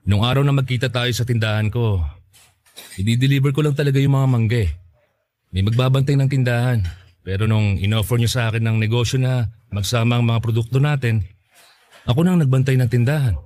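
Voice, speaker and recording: A man's voice: mid-range voice, steady tone, brisk, a little mellow, no echo, natural voice. Earnest Filipino man, humble mango farmer, acted. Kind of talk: Tagalog radio drama acting.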